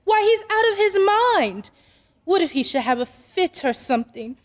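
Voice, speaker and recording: A woman's voice: sits high, sing-song, normal speed. A woman playing an earnest, anxious store executive in old-time radio drama style. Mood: worried.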